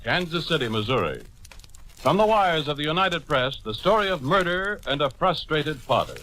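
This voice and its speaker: A man's voice: a little high, sing-song, normal speed, rough recording. A man acting as an emphatic announcer for an old-time radio news drama, dramatic and formal. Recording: old radio recording under loud music.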